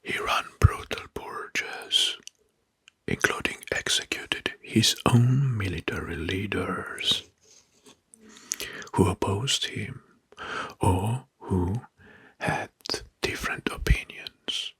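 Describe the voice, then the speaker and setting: A man narrating in a whispered ASMR style, slow and deliberate with a breathy voice, close to the mic. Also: low-pitched, dry recording. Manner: slow, deliberate, repeats words softly. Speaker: host of ASMR history video. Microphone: close mic, dry.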